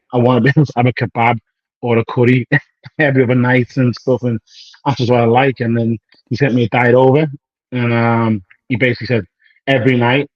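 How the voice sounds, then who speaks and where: A man's voice: medium pitch, no room sound. Male bodybuilder, 30s, loose slangy rambler who re-enacts dialogue; dull, dry capture. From United Kingdom. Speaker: professional bodybuilder guest. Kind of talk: casual interview chat.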